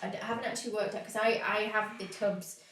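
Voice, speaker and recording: A woman's voice: medium pitch, fairly even intonation, fast talker. A woman with a sniffly, hay-fever nose, chatting casually as she explains her steps in a live home tutorial. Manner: chatty, explains as she works, many fillers. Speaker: cake decorator teaching a live stream. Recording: roomy, distant, faint noise.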